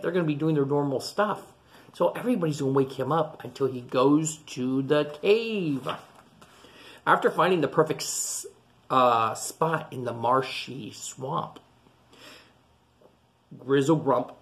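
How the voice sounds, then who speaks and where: A man in his forties reads a children's storybook aloud in a classroom, close to a webcam. He reads slowly and in a slightly high voice, stopping often to explain the pictures to young listeners. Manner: animated, explains the story to children between pages. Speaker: teacher reading a picture book aloud. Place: classroom, indoors. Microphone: webcam at close range.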